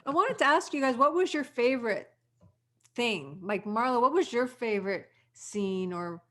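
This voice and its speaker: A woman's voice: a little high, expressive, normal speed, a bit bright. A woman from an audio drama cast, talking casually in a group conversation.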